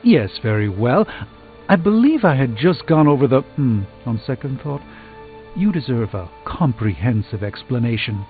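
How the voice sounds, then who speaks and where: A man playing a grandiose game sorcerer, sounding thin and phone-like with music behind. His fairly high voice sweeps widely as he lectures in elaborate, self-important phrases. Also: sing-song, normal speed, lightly acted. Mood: lecturing. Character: pompous, theatrical, learned male sorcerer and mystic. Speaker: video game character actor. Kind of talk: theatrical video game dialogue.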